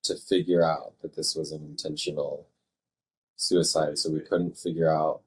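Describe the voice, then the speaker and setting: A man working as a fire dispatcher, answering interview questions in a measured, thoughtful way with halting phrasing. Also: very deep voice, flat delivery, breathy edge.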